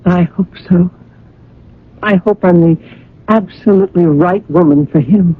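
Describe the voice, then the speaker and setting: An actress playing a coy, hopeful woman in a radio drama, confiding with hesitant, arch pauses. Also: fairly low pitch, slightly rough. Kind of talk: 1980 radio drama acting. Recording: dull, hissy vintage radio recording.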